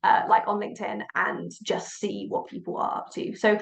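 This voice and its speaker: A woman's voice: medium pitch, little pitch movement, normal speed, gravelly, reverberant. A woman hosting a webinar as an accountant, bubbly and chatty, casually swearing. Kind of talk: enthusiastic webinar introduction. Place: home office.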